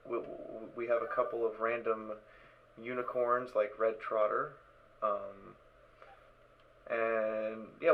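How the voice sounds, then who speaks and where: Halting man hosting, dull noisy recording. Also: lowish voice, gravelly. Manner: halting, repeats words. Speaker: stream host and organiser. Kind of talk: casual live-stream chat. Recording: dull voice chat with background noise.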